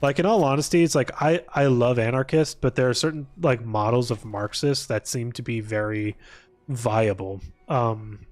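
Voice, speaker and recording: A man's voice: medium pitch, moderate pace, a little mellow. A man chatting casually on a livestream, rambling informally and hesitantly, often trailing off mid-thought. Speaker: live streamer. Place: apartment room.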